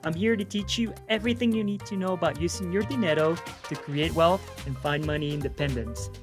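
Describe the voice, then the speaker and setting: A man's voice: on the high side, brisk, over music. A man from Colombia hosting a finance podcast, upbeat and encouraging, often repeating his phrases. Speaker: podcast host. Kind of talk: podcast interviewing.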